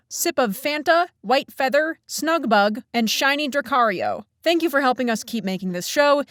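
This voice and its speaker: A woman's voice: a little high, fairly bright tone, no room sound, studio quality. A woman reading a scripted podcast announcement as the show's announcer. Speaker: show announcer thanking patrons.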